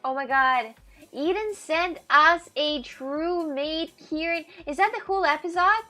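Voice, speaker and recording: A woman's voice: sits high, measured pace, soft background music. Chatty Russian-born woman streamer. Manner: animated, chatty, repeats herself. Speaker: live streamer. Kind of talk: casual live-stream chat.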